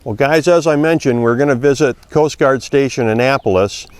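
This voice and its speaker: A man's voice: mid-range voice, moderate pace. A man presenting an instructional boating video in an informal, explanatory manner. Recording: clear background noise, ambient sound.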